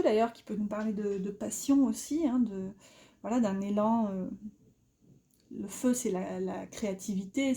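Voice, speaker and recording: A woman's voice: on the high side, warm tone. Chatty woman reading tarot cards. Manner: chatty, rambling, many fillers and restarts, laughs often. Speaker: tarot reader. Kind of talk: casual spoken tarot reading.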